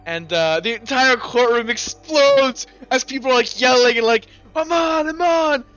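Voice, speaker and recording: A man's voice: very high voice, expressive, normal speed, a bit bright, smooth, lo-fi sound, soft background music. A man running a tabletop game as game master, narrating off the cuff in a casual, joking, sweary way.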